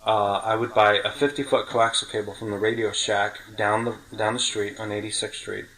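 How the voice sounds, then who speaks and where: A man's voice: fairly low pitch, little pitch movement, moderate pace, fairly bright tone, low-quality recording. A middle-aged man hosting a podcast, chatting casually and telling detailed stories from memory. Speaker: podcast host. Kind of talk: casual reminiscing conversation.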